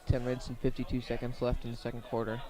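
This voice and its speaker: A man doing live radio play-by-play of a high school football game in short, plain, measured phrases. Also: monotone. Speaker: play-by-play sports commentator.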